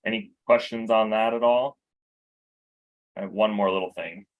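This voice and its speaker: A man from a bank giving a relaxed briefing, explaining loans with examples. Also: on the low side, normal speed. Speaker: bank loan officer. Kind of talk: informal work briefing.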